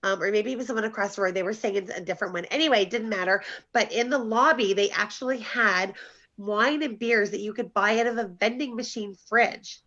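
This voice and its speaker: A woman hosts a relaxed group chat over a video call, talking at length and laughing easily. Her voice is bright and forceful. The call audio is a little dull and muffled. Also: mid-range voice, a little fast. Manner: chatty, laughs often. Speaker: host.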